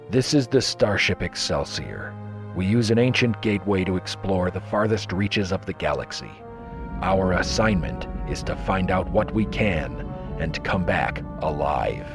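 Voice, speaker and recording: A man playing a wry, plain-spoken starship narrator, reading a title narration in a dry, deliberate way. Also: low voice, heavily acted.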